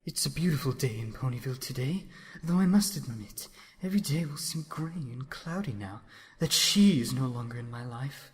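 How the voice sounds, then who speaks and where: A woman voicing a gentle, lovelorn stallion who talks wistfully and stammers when flustered. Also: extremely low pitch, expressive, moderate pace, husky, full character voice.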